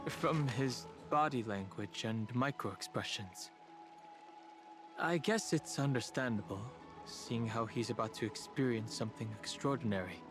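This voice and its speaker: An actor playing a composed, observant traveling companion in a video game, a young man speaking in a measured, reflective and analytical way. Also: medium pitch, natural voice. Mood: thoughtful. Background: background music.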